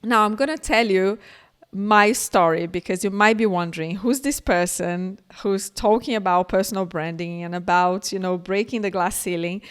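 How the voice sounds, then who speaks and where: A woman's voice: mid-range voice, normal speed, clear, resonant tone. A middle-aged woman from Brazil with a Brazilian accent, delivering an earnest, encouraging conference keynote and speaking directly to her audience. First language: Portuguese.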